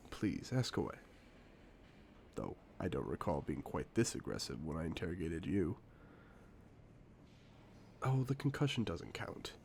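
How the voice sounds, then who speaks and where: A man playing a suave, confident secret agent in a spy audio drama, speaking in a playful, theatrical, deliberate way. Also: low voice, darkish tone, a little hiss or hum, full character voice. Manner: smooth-talking, playful, theatrical, deliberate phrasing. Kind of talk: scripted one-sided audio roleplay, spy drama.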